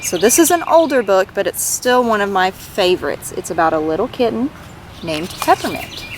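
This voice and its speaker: A woman reading a storybook aloud to children in an expressive, gentle way, as a read-aloud host. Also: mid-range voice, moderate pace, background noise.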